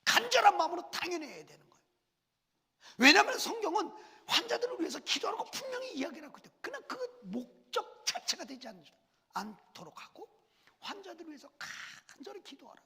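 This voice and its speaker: A man's voice: extremely high pitch, very animated, a little fast, sharp tone. A man preaching a sermon as senior pastor, speaking through a cold and a cough, asking the congregation questions as he goes. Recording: long church reverb.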